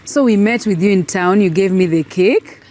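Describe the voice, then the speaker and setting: A woman in her thirties hosting a casual interview, curious and prompting, with quick back-channel replies. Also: medium pitch, darkish tone, a little hiss or hum. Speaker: show host.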